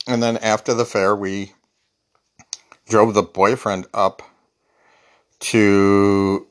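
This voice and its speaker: Guy rambling on his podcast, lowish voice, wry and joking at himself. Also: flat delivery, slow. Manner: rambling, wry, self-deprecating. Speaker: podcast host. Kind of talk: casual solo monologue.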